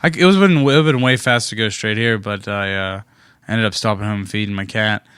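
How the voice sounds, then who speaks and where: Smooth-voiced man, friendly and self-deprecating. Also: on the low side, fast, fairly bright tone, no room sound, studio quality. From Pittsburgh area, USA. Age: 20s. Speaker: musician guest. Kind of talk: casual interview chat.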